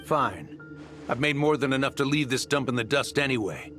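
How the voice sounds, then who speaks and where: A man's voice: medium pitch, expressive, moderate pace, lightly acted. Guy doing a greedy cold arms dealer in a game, dismissive, voice swings up and down, music behind. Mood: cold, callous. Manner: dismissive, cynical. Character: greedy, callous male arms dealer, coldly self-serving. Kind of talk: dramatic video game voice acting.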